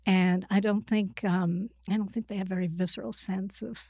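A woman's voice: medium pitch, fairly even intonation, normal speed, darkish tone, dry recording. A middle-aged or older woman speaking as an expert interview guest, reflective and hesitant, often correcting herself mid-sentence. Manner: hesitant, hedging, self-correcting. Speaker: psychiatrist and author, interview guest.

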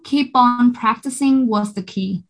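A woman in her thirties with a Vietnamese accent, talking about herself in a relaxed interview. She is upbeat and halting, and her sentences stop and start around plenty of fillers. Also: fairly high pitch. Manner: hesitant, many fillers and restarts, upbeat. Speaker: singer, interview guest. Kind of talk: casual interview chat.

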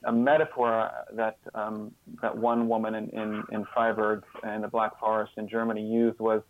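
A man's voice: lowish voice, flat delivery, moderate pace, phone-quality sound. A man giving a reflective interview as a guest, speaking earnestly and often repeating words as he searches for phrasing. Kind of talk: reflective interview talk with personal examples.

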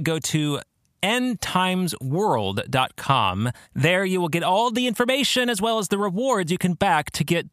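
Bright, animated male podcast host. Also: high voice, no echo, clean studio sound. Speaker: author and former pastor. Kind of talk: solo podcast monologue, conversational yet scripted.

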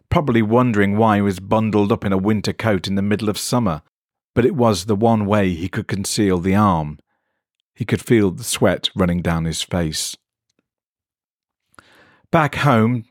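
A man reading a scripted short story as an expressive narrator. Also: fairly low pitch, no room sound, studio quality.

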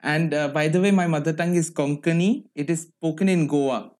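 A young man from India, a guest in a casual chat who agrees often and explains gently. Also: on the high side, steady tone, fairly quick. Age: young adult.

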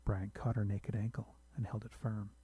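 A man's voice: lowish voice, level tone, normal speed, breathy edge, no room sound, muffled recording. A man reading a literary short story aloud as a narrator, close to the microphone.

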